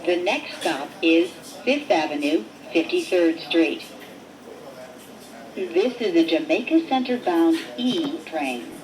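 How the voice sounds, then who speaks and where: A woman reading scripted train announcements in a measured, formulaic manner, in short separate phrases. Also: fairly low pitch, animated, crowd noise, low-quality recording. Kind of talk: recorded transit announcement, scripted.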